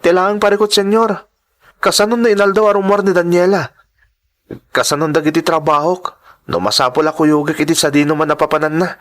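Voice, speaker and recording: A man's voice: a little high, steady tone, brisk, fairly bright tone, natural voice. A man playing a humble young driver in an audio drama, hesitant and respectful toward employers. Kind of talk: Ilocano audio drama acting.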